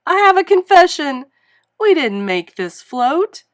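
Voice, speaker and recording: A woman voicing an excitable, earnest young male cartoon sea sponge for a children's storybook. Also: sits high, unhurried, pitched above the performer's own voice. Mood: anguished confession.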